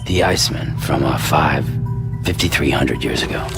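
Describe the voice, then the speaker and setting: Blunt sceptical game hero, super deep voice, loud music behind him, few words. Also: male voice, normal speed, vocal fry. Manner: terse, blunt, doubting. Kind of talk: video game cutscene acting.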